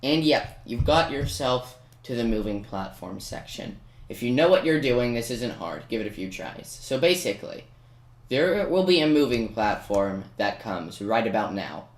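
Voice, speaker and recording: A man talks casually through a video game at a medium pitch, poking fun at himself and then playfully bragging. The recording is roomy and noisy, with keyboard clicks behind his voice. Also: expressive, normal speed. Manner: self-mocking, playfully boastful. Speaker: gaming video maker. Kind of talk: casual gameplay commentary.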